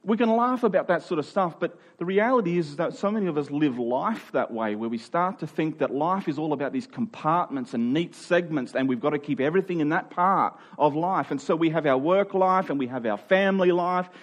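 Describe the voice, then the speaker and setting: A man's voice: on the high side, lively intonation, a little fast, few pauses. A man preaching in a conversational, expository style, earnest and informal, leaning on key phrases for emphasis.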